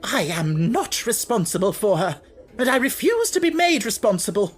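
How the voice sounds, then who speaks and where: Man acting a shrill, furious headmistress. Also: very animated, moderate pace, slightly rough, exaggerated voice. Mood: indignant. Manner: indignant, imperious, biting. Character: harsh, furious middle-aged headmistress, woman.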